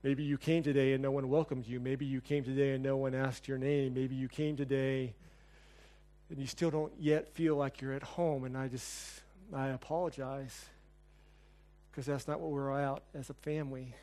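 A man's voice: medium pitch, little pitch movement, normal speed, mellow. Earnest preacher man praying. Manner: earnest, repetitive praying cadence. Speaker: pastor. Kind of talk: sermon closing in prayer. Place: church.

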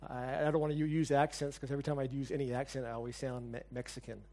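A man's voice: mid-range voice, level tone, fast talker, mellow. A pastor closing a sermon and leading a prayer in church, earnest, building his lines in repeated phrases. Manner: earnest, repetitive praying cadence.

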